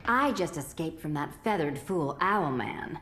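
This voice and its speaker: A woman's voice: deep voice, animated, measured pace, a bit bright, breathy edge, full character voice. An actress voicing a sly, sardonic female cat burglar who teases, in a comic video game. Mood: wry, teasing. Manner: sardonic, teasing.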